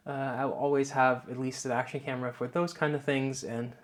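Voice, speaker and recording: Relaxed Dutch man chatting to camera. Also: mid-range voice, fairly quick. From Netherlands. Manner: relaxed, many filler "uh"s, rambling explanations. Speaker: YouTuber. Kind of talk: casual vlog talk to camera. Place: home office, indoors. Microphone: wireless clip-on mic.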